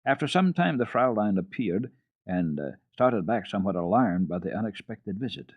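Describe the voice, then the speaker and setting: A man telling a children's story as a dramatic reading, expressive, measured and deliberate. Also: fairly low pitch. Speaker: storyteller, radio host. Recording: dull, roomy old radio recording.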